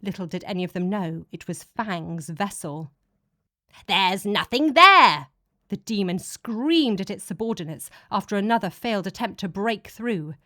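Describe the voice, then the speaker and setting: A woman narrates a scripted audiobook in a dramatic storytelling style. Her pitch is average for a woman. The recording is dry, with hardly any room sound. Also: normal speed, few pauses, no room sound.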